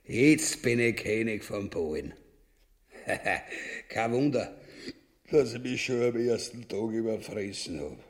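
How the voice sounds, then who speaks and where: A man's voice: on the low side, animated, slow. An actor playing a greedy, boorish usurper king and husband in a grotesque radio drama, blustering and commanding in an Austrian accent. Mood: smug, gloating.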